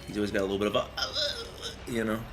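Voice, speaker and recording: A young man with a lowish voice that swings around a lot. He streams a game, talking casually in slang, joking and rambling over background music. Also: wildly swooping pitch, brisk, rough recording. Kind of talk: casual gameplay commentary and chat.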